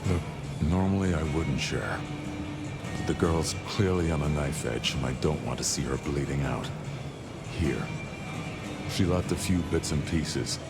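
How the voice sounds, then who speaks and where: An actor playing a laid-back, gruffly caring man who keeps a bar in a video game, folksy and casual. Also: very deep voice, a little slow, long pauses, over loud music. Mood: reluctant, caring.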